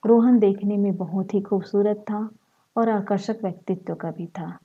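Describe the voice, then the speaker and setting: A woman with a slightly husky voice, narrating a short story. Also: medium pitch, fairly even intonation, normal speed, phone-quality sound.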